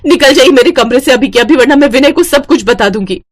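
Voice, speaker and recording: A woman's voice: sits high, lively intonation, quick pace, pitched above the performer's own voice. A woman voicing a young, dutiful and firm daughter-in-law in a cartoon story, earnest and emphatic. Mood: indignant. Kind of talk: Hindi moral-story cartoon acting.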